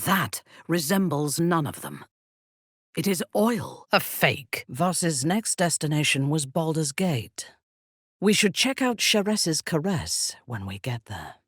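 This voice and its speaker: A woman playing a ruthless, imperious warrior commander of a dark cult in a fantasy video game, cold, commanding and disdainful. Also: sits low, breathy edge, dry recording, studio quality, heavily acted.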